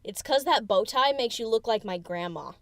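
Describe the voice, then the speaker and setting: A woman's voice: on the high side, animated, moderate pace, a bit bright, no room sound, studio quality. A woman voicing a brash, teasing young female pony DJ in a fan audio story, with casual, slangy delivery. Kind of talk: acted fan fiction audio drama.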